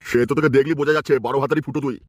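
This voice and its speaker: A man's voice: fairly high pitch, steady tone, very fast. A man voicing scolding elders in a crude comic cartoon, blustering and insulting. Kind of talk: cartoon voice acting, gruff elders.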